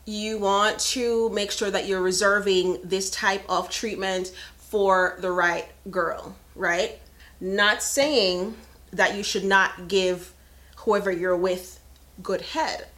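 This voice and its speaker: Frank, unhurried woman in her thirties. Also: medium pitch, fairly bright tone. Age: 30s. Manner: frank, chatty, laughs often. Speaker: podcast host. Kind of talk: casual live-stream chat.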